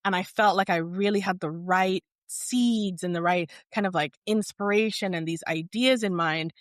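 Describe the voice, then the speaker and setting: A thoughtful, articulate woman in her thirties from Barbados talks through her ideas in a relaxed interview. Her voice sits a little low, and the close mic makes it sound dry and intimate. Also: moderate pace, no echo. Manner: articulate, thoughtful, heavy use of "like". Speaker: podcast guest, therapist. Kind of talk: reflective conversational interview.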